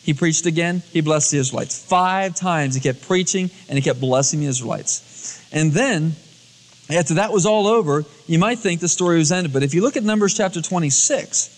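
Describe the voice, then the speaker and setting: A man's voice: fairly high pitch, animated, moderate pace. A man preaching a storytelling sermon as a pastor, acting out dialogue in everyday colloquial words. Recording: long hall reverb, cassette tape transfer.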